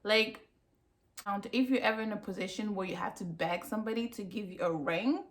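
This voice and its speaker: A woman's voice: mid-range voice, brisk. A woman talks straight to her viewers in a casual review, pressing each point and repeating it for weight. Her voice sits in a middle range for a woman. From Cameroon. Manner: emphatic, repeats herself for effect. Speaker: vlogger.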